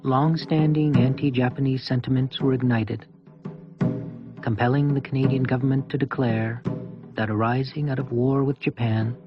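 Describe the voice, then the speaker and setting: Formal male narrator over clear background music. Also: mid-range voice, dull audio. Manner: formal, measured. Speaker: documentary narrator. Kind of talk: scripted historical narration.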